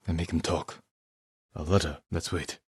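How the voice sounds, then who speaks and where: A stern, honorable young samurai warrior from a dramatic video game. His voice is deep and husky, close and dry. He speaks in terse, measured lines with restrained, weary resolve. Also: fairly even intonation, no room sound. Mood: low, wary. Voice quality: low, husky voice.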